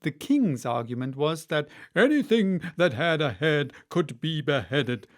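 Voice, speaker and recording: A man with a fairly high voice reads a storybook aloud. He narrates as for an audiobook. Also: big pitch swings, a little slow.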